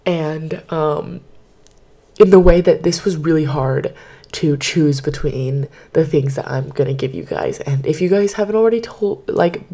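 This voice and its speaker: Female podcast host, low mellow voice, slightly hoarse; rambling casual chat into a home mic from her bed. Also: measured pace. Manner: chatty and rambling, many filler words. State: slightly hoarse, partly lost voice. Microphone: podcast microphone.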